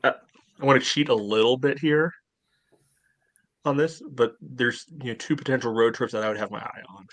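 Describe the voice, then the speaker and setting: A man's voice: mid-range voice, fairly even intonation, moderate pace, pauses often, a bit bright. A man co-hosting a casual sports podcast, conversational and ready to question or counter what is said. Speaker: podcast co-host.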